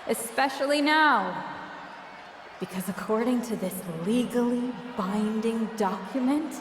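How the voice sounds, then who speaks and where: A woman's voice: on the high side, unhurried. A woman playing a bitter, jealous wrestling promoter in a video game, delivering a sarcastic, menacing speech over an arena PA. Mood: smug, menacing. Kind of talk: theatrical in-ring promo speech. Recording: echoey arena PA with crowd noise.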